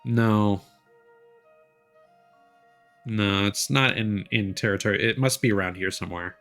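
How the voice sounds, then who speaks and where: A man's voice: lowish voice, measured pace, a bit bright. A man streaming a video game, chatting casually as he plays, with game music behind him. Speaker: livestreamer and VTuber. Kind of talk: casual gaming stream chat.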